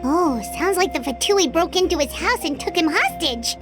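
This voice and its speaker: A woman's voice: expressive, normal speed. A woman voicing a small, perky, childlike floating companion in a video game, eager and loyal. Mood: concerned. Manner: perky, squeaky, exclamatory. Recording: loud background music.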